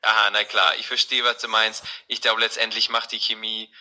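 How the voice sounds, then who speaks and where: A man's voice: on the low side, flat delivery, brisk, sharp tone, low-quality recording. A man's synthetic chatbot voice, polite and neutral, played from a phone into the conversation. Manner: polite, neutral, overly agreeable. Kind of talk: scripted-sounding assistant replies. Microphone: played from a phone speaker.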